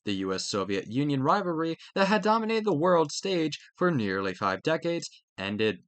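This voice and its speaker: A man's voice: a little high, very animated, moderate pace, fairly bright tone, no room sound. A man reading educational text aloud in a scripted narration style, now and then stumbling over a word and correcting himself. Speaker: reader of a study textbook aloud. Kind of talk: scripted read-aloud of a history textbook.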